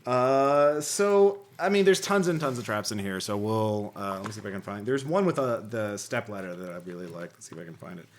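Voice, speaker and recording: A man's voice: fairly low pitch, brisk, hardly any pauses. A man hosting a casual tabletop gaming podcast, sometimes reading rulebook passages aloud and sometimes talking conversationally. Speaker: podcast host.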